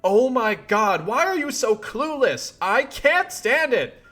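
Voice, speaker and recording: Furious, high-pitched young woman played by a man. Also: big pitch swings, takes it slowly, full character voice. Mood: exasperated. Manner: shouting, biting, emphatic. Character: furious, exasperated young woman, sick of her friend.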